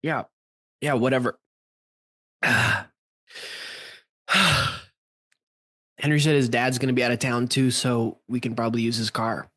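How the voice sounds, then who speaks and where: A man playing a defensive, nervous young stoner with halting dude-speak in an amateur radio play. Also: mid-range voice, level tone, close to an everyday voice.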